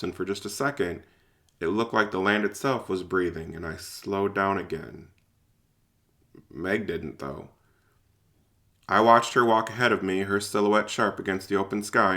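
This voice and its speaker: A low-voiced man tells a story in the first person as the lead of an audio drama. His voice has plenty of gravelly creak. He sounds informal and hesitant, as though working out his thoughts as he speaks. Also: a bit bright. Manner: hesitant, informal, thinking aloud.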